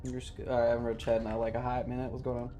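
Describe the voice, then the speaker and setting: A man's voice: mid-range voice, completely flat delivery, fast talker, mellow, faint music behind. A man livestreaming a video game with casual, slangy, swearing chatter and jokey commentary. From Southern United States.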